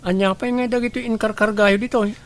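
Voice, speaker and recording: Creaky-voiced, kind young man, played by an actor. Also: sits high, fairly even intonation, quick pace, slight background noise. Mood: polite, concerned. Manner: polite, caring. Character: kind, patient young male restaurant worker. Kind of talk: Ilocano radio drama acting.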